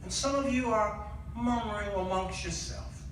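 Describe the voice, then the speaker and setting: A man preaching an impassioned sermon, exhorting his listeners with pauses and rhetorical questions. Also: sits high, background noise. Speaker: guest preacher. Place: large echoey indoor church with congregation. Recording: echoey church, distant mic, congregation responding.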